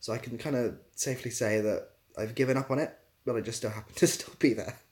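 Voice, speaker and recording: A man talks casually to his livestream viewers in an ordinary mid-pitched voice. He reads out questions and answers in a laid-back, rambling manner, often poking gentle fun at himself. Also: fairly even intonation, quick pace. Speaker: live streamer answering chat questions.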